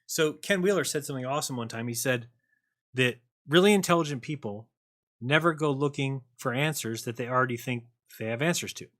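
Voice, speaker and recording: Man, call-in host, bright tense voice, joking sarcastic delivery. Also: medium pitch, fairly quick. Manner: animated, joking, sarcastic asides. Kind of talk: casual call-in chat.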